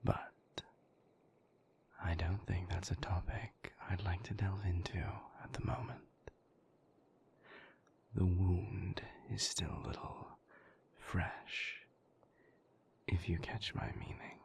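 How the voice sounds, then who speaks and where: A man playing a moody, suave vampire boyfriend in an intimate audio roleplay, speaking in a breathy near-whisper with languid, formal phrasing. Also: deep voice, steady tone, slow, long pauses, exaggerated voice. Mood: guarded, evasive.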